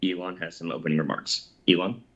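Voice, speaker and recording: A man's voice: deep voice, little pitch movement, racing, a bit bright, husky. A man reading a formal scripted introduction as the moderator of a corporate earnings call. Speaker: head of investor relations, call moderator. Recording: dull lo-fi webcast audio.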